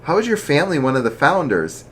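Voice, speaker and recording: A man's voice: on the high side, sing-song, quick pace, fairly bright tone, breathy edge, voice pushed up, exaggerated voice. A storyteller voicing a weary young man on the run, speaking earnestly, close to their own voice.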